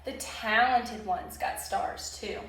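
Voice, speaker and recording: A woman reading a children's story aloud expressively, pausing to put questions to young listeners. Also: fairly high pitch, a bit bright, a little hiss or hum. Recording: very echoey room, distant microphone.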